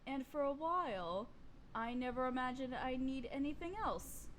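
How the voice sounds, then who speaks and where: A woman's voice: high-pitched, animated, fairly bright tone, slight background noise. A woman playing an imperious evil queen and sorceress in a fantasy audio roleplay, speaking formally with pompous, condescending menace. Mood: calculating. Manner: formal, pompous, condescending, slow deliberate delivery. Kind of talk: scripted fantasy audio roleplay addressed to listener.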